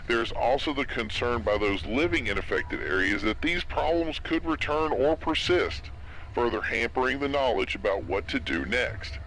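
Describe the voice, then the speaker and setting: A man playing a formal, serious radio news announcer reading a measured emergency bulletin in an apocalypse audio drama. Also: medium pitch, bright, forceful tone. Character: sober male radio news announcer during zombie outbreak. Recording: noisy radio broadcast with background ambience.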